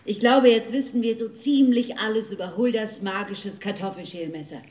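A woman's voice: medium pitch, normal speed, a little mellow, close to an everyday voice. A woman playing a polite, organised teacher who addresses the audience at a school reading night in a radio play. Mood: polite, announcing. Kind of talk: children's radio play acting. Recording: roomy hall with faint audience noise.

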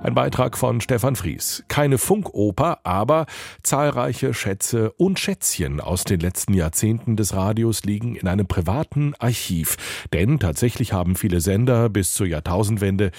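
Male radio host, low and mellow, scripted links. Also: expressive, unhurried, vocal fry, dry recording, clean studio sound.

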